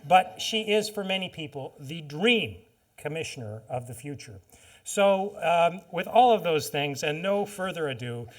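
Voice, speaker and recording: A man hosting a public forum, giving a formal spoken introduction in a halting manner with restarts. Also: a little high, lively intonation. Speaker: event host introducing a speaker. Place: public lecture forum with an audience.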